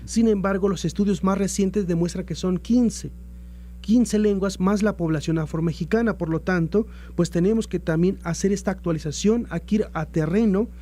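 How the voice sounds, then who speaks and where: A man's voice: sits high, normal speed, dark tone, a little hiss or hum. A Mexican man giving formal, earnest explanations as an institutional guest in a radio interview, often repeating phrases as he goes. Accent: Mexican Spanish. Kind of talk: formal interview answer about institutional plans.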